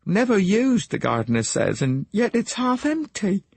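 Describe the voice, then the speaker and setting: Male narrator doing a fretful middle-aged wife, raised voice, husky, lively swings, dry sound. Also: high voice, dry recording, pitched above the performer's own voice, heavily acted. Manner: fretful, gossipy, quick to take offence. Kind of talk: audiobook character voice.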